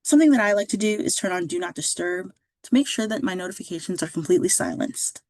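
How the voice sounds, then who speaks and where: A woman reading a scripted instructional narration as a career services presenter, in a clear, measured, instructive way. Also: fairly low pitch, animated, a little mellow, no echo.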